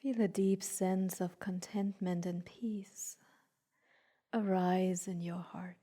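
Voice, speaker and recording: Soft, mellow woman guiding meditation. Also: lowish voice, steady tone. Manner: soothing, unhurried, gently instructing with long pauses. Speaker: guided meditation teacher. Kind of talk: slow guided meditation.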